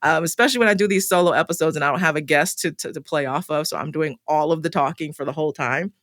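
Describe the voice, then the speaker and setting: A woman's voice: sits low, quick pace, a bit bright, dry recording, clean studio sound. A middle-aged woman hosting a solo podcast, talking candidly with many fillers and restarts, her voice starting to tire. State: voice getting tired. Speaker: podcast host.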